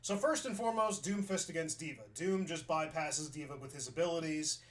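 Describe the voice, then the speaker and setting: A young man from New York talks through a gameplay review in a loose, conversational way. His voice sits a little high for a man. He thinks out loud and often circles back to restart a thought. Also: moderate pace. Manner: chatty, thinks aloud with restarts. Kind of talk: casual gameplay review commentary.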